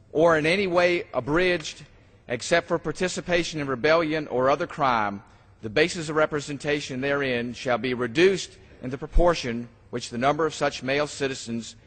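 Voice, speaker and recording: A man, a member of Congress, formally reading a legal document aloud in a large legislative chamber with a husky voice. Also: mid-range voice, a bit bright, big-room sound.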